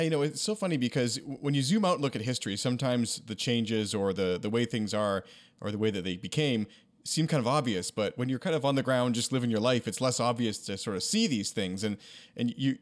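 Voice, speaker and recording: A fast-talking man hosts an interview podcast and responds eagerly to his guest. His voice sits in an ordinary middle range, and his words tumble out quickly as he reflects on what he heard. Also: mid-range voice. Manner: quick, enthusiastic reactions. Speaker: podcast host. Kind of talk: interview conversation.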